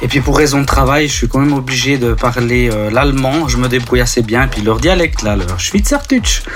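A young Swiss man whose first language is Italian, a DJ and producer talking casually over a remote call, pausing often with fillers. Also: mid-range voice, over music. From Switzerland. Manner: hesitant, many filler pauses, laughs often. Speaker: guest DJ and producer.

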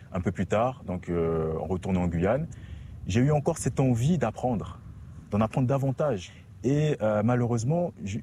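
A man's voice: on the low side, mellow, slight background noise. A young man from French Guiana, a theology student, recounting his path in an earnest, reflective way. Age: young adult. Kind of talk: personal account in a report.

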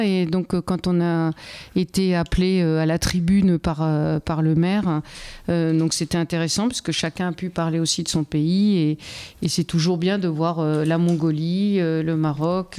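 A woman's voice: fairly low pitch, steady tone, normal speed, mellow, clear, resonant tone. A middle-aged woman guest in a casual interview, speaking hesitantly with many fillers and restarts. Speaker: interview guest, Erasmus project member.

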